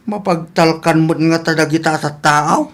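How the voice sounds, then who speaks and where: A man's voice: fairly high pitch, a little slow, fairly bright tone. A man with an Ilocano Filipino accent playing an easygoing, grumbling older village father in a comedy radio drama. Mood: questioning concern.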